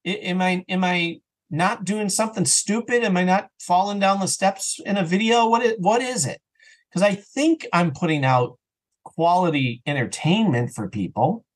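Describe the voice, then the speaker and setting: A man chatting casually as an interview guest, talking in an earnest, animated way about his creative work. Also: sits high, normal speed. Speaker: interview guest, audio drama creator and podcaster.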